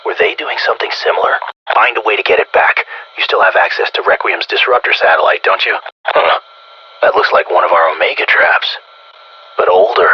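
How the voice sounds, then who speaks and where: Conceited male weapons scientist, American accent, very husky, low-pitched, sarcastic, condescending, video game character, radio-filtered. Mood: sarcastic scolding. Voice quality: raspy, gravelly. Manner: condescending, sarcastic, self-congratulatory. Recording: dull, band-limited radio-comms sound.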